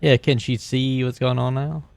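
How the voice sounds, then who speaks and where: A man's voice: medium pitch, level tone, normal speed, creaky. A man playing a tabletop roleplaying game, chatting casually and thinking aloud.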